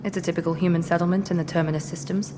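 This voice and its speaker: Brief: actress as an aloof female soldier, curt, lower register, game audio with hiss. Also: steady tone, racing, close to an everyday voice. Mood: cool. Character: cool, guarded female Cerberus operative. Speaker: game character. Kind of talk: video game dialogue. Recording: game audio with long reverb and clear hiss.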